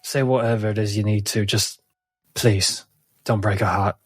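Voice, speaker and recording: A man's voice: lowish voice, steady tone, moderate pace, husky, faint music behind. A man playing an earnest, wary sanctuary man who talks informally and pleads for secrecy. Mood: pleading.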